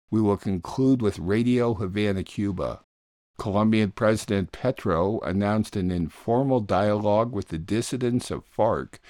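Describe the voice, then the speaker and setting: Male news program host, low voice, very dry recording, scripted headline delivery. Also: darkish tone, no room sound. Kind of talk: scripted narration, headline summary.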